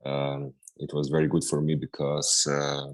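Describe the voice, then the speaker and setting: A man's voice: a bass voice, monotone, a little slow. A man with a Slovene accent, speaking English as a second language, answering interview questions with many hesitations. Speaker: guest practitioner.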